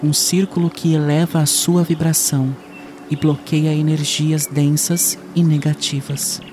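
A man leading a guided meditation in a soothing, unhurried way with long pauses. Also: fairly high pitch, flat delivery, a little mellow, a little hiss or hum.

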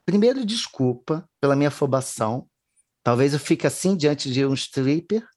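Male host, theatrical, joking, quick to apologize. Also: a little high, animated, a little mellow. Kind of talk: playful talk show hosting.

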